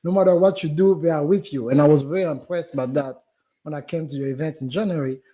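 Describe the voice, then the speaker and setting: A man's voice: on the high side, fast, mellow. A man with a French accent, a business guest on a live stream interview, talking animatedly with many fillers and restarts. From Gabon.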